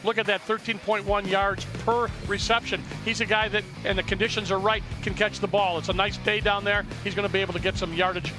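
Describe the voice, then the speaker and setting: A male color commentator talks through football plays in a folksy, conversational way. His voice is high for a man, with loud music and outdoor sound underneath. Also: flat delivery, brisk, few pauses, rough recording. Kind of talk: conversational sports analysis. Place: sports broadcast at an outdoor stadium.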